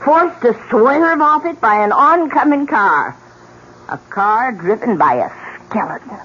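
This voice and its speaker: A woman's voice: fairly high pitch, sing-song, unhurried, a bit bright, husky, full character voice. Bitter, dramatic old woman in radio drama. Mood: ominous, dramatic. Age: older. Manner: folksy, dramatic, needling. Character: bitter, nagging, ominous old widowed mother in a wheelchair. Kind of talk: 1970s radio drama acting. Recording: muffled, noisy old radio broadcast.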